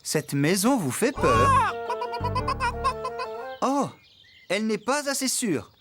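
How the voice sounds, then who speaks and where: An excitable, good-natured young farmhand from a children's cartoon. The delivery is expressive and full of exclamations, swinging widely in pitch. Prominent music plays under the dialogue. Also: male voice, takes it slowly, exaggerated voice. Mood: exasperated, obliging. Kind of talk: animated cartoon dub acting.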